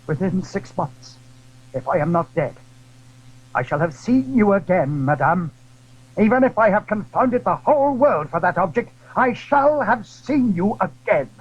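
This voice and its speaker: A man's voice: high voice, sing-song, a little slow, breathy edge, full character voice. A man playing an ardent, lovesick English duke in an audio drama, speaking in florid, breathless, melodramatic phrases. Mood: solemn devotion. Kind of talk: theatrical audio drama acting. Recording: muffled old audio drama recording.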